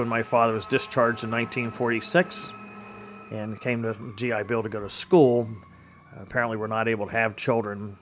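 A man's voice: lowish voice, fairly bright tone. An American man in his fifties speaking conversationally as an interviewed state legislator, recounting personal and local stories. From Pennsylvania, United States.

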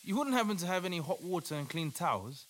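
A man's voice: sits high, big pitch swings, quick pace, slight background noise. An actor playing an earnest, devout male doctor who takes charge in an audio play. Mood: urgent. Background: music.

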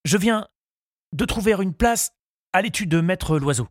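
A man's voice: sits high, lively intonation, measured pace, no echo, studio quality, pitched above the performer's own voice. A man voicing a shy, earnest young suitor in an audiobook, hesitant and deferential, stammering as he speaks. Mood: nervous, eager.

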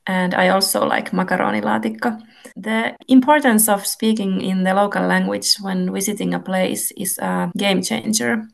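A woman with a Finnish accent hosting a podcast and interviewing a guest in English, in a clearly paced, scripted-sounding way. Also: medium pitch, fairly even intonation, normal speed. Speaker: podcast host and Finnish language lecturer. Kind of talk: podcast hosting, partly read intro.

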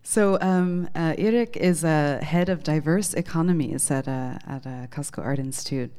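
A woman with a notably low, dark-toned voice works as a radio host. She interviews in an informal, conversational style. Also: normal speed, clear, resonant tone. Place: radio station.

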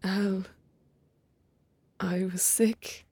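A woman's voice: mid-range voice, steady tone, very slow, darkish tone, slightly rough, dry recording, clean studio sound, heavily acted. A woman playing a protective Irish friend in an audio drama, coaxing and colloquial in manner. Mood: tender, remorseful. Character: girl's protective female friend, secretly her mother.

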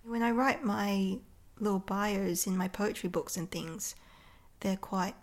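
A woman's voice: medium pitch, takes it slowly. A woman poet talking casually and reflectively in an interview, in an understated, tentative way. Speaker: poet and author being interviewed.

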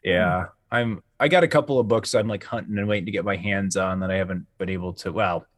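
Low-voiced man chatting casually, dry sound. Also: fast, dry recording. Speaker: podcast host. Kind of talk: casual chat.

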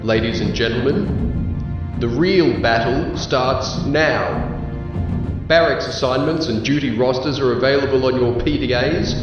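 A man playing a stern military marshal in an audio drama, giving a formal, deliberate, commanding address. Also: medium pitch. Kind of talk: audio drama speech to recruits. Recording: echoey distant hall, dull sound, loud music.

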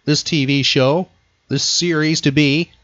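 A man's voice: a little high, steady tone, a little slow, fairly bright tone, no room sound. A man hosting a casual trivia show in an upbeat, playful way. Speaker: radio show host. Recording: muffled lo-fi audio.

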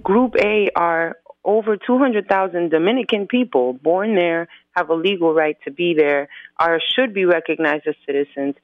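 A woman's voice: fairly low pitch, dry recording. A woman from the United States, an activist guest, explaining things earnestly and methodically in an interview over the phone. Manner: earnest, methodical, lays out points in groups. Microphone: phone line. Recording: tinny phone line.

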